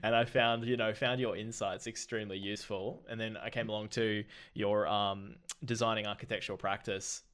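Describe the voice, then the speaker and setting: A man's voice: lowish voice, level tone, normal speed, hardly any pauses, a bit bright. A man hosting a business podcast, speaking as an interviewer. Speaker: podcast host, marketing consultant. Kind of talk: podcast introduction and conversational interview.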